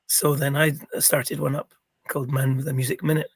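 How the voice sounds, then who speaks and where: A middle-aged man with a croaky, gravelly voice, chatting as an interview guest and rambling with many ums and restarts. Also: mid-range voice, level tone, fairly quick. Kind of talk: casual interview chat.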